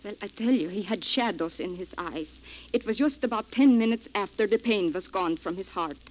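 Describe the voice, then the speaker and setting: An actress playing a scheming stage performer, cool and menacing, in an old radio drama. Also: female voice, medium pitch, moderate pace, a little hiss or hum, close to an everyday voice. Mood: worried. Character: scheming actress, calm cold woman. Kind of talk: 1940s radio acting. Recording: dull old radio broadcast.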